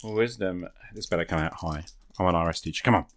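A man's voice: low-pitched, fast. A man hosting a podcast, chatting in a casual, off-the-cuff way. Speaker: podcast host and player.

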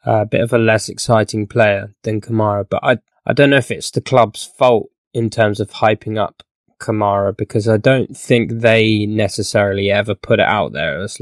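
Man, croaky podcast guest, rambling talker, dry close sound. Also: lowish voice, steady tone, moderate pace, no echo. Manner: rambling, many fillers and restarts. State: croaky from illness. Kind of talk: casual podcast chat.

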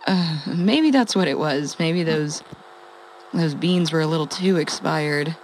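A woman's voice: low voice, a little slow, darkish tone, a little hiss or hum, low-quality recording. A woman playing a wry survivor and former radio host in an audio drama, rambling, hesitant and self-deprecating as she talks. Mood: uneasy, confiding. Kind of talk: audio drama, confessional log.

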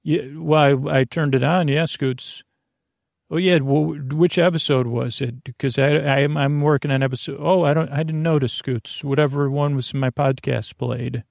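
A man voicing a barely attentive podcast listener, creaky, hesitant and noncommittal, musing aloud. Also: on the high side, fairly even intonation, normal speed, a little mellow, no room sound, studio quality. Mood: vague, musing. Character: vague, barely attentive regular podcast listener over breakfast.

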